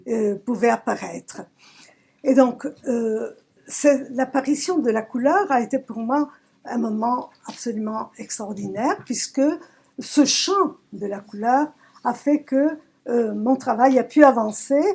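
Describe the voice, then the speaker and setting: A woman from southern Italy, a poet giving a reflective talk about her work, searching for words with emphatic pauses. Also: on the high side, darkish tone, husky. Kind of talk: reflective talk at a poetry recital. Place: public library event.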